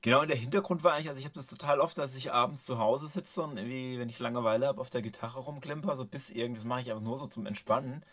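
A man in his thirties hosting a casual talk in his small home studio, explaining things in a relaxed way with many fillers. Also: mid-range voice, rapid-fire, hardly any pauses. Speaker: host, mixing engineer. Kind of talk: casual presenting.

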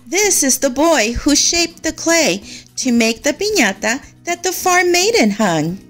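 A woman narrating a children's story in an expressive, measured way, as a read-aloud storyteller. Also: high-pitched, soft background music.

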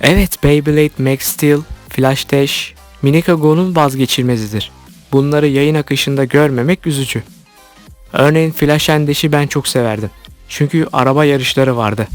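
Male video host, slightly high voice, scripted commentary read, light music bed underneath. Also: moderate pace. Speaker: YouTube host commenting on cartoons. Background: background music.

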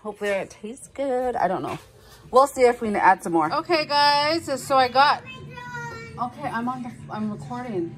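A woman in her thirties chatting casually as she cooks at home and films on her phone, filling pauses with fillers and little apologies. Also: a little high, expressive. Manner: chatty, self-narrating, filler-heavy, apologetic. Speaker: home cooking vlogger. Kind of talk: casual cook-along chat. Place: home kitchen, indoors.

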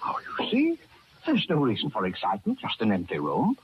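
An actor playing a genteel, menacing killer who gloats with mock courtesy and rambles on, in 1940s radio horror style. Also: male voice, lowish voice, huge pitch swings, brisk, husky, full character voice. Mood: gloating menace. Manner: rambling, self-satisfied, chuckling, mock-courteous. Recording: dull, noisy old-time radio recording.

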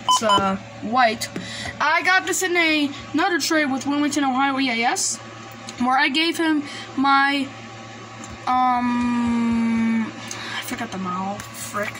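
A woman with a fairly high voice talks casually through a show-and-tell, hesitant and rambling. She is recorded on a handheld phone indoors, with clear noise behind her. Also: takes it slowly. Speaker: collector presenting gadgets. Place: small indoor room at a desk. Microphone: handheld phone camera. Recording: noisy handheld recording, background hiss. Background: noise and radio devices.

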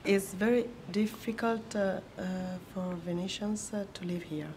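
A woman's voice: mid-range voice, steady tone, a little slow, a little mellow, a little hiss or hum. A woman in her forties from France, speaking hesitantly and searching for words in a street interview outdoors. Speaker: interviewee, longtime resident.

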